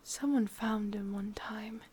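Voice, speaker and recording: A woman playing a sadistic, possessive, lovesick witch in a whispered ASMR roleplay, speaking intimately in an English accent with a breathy, husky voice. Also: mid-range voice, steady tone, warm tone, a little hiss or hum, natural voice. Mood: wistful. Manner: slow, intimate, menacingly tender.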